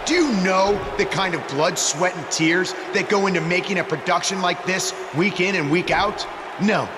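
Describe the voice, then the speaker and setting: An actor playing a pompous, self-important wrestler who hosts a talk show, declaiming a scripted promo in a video game. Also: male voice, sits high. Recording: echoing arena with crowd noise.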